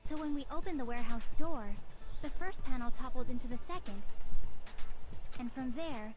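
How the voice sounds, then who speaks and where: An actress playing a sharp, earnest teenage girl who reasons through a case in an anime game dub. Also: high-pitched, normal speed, natural voice. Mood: explaining, insistent. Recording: game music behind the voice.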